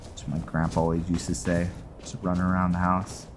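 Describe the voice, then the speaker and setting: A man's voice: deep voice, monotone, fairly quick, background noise. A man giving casual, laid-back commentary as he roleplays through a video game, musing aloud and chatting to viewers. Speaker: gaming video host. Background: game music.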